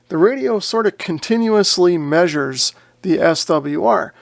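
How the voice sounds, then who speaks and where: A man with a slightly high voice and a marked creak walks through a product demo in a casual, methodical way. He explains each step in order, recorded very dry with no room sound. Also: dry recording. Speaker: host of a radio review and demo video. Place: indoor radio shack.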